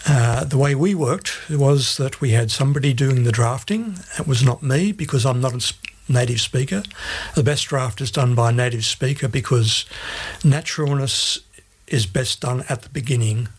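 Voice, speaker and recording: A man's voice: mid-range voice, normal speed, husky. A middle-aged Australian man giving plain-spoken, methodical explanations as an interview guest. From Australia, grew up in Sydney. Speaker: interview guest, Bible translation advisor.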